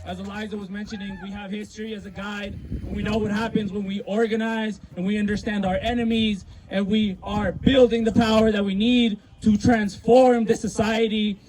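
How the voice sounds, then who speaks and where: A man's voice: high-pitched, darkish tone, a little hiss or hum, poor audio. A man hosting an outdoor protest rally, leading chants and introducing speakers. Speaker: rally emcee.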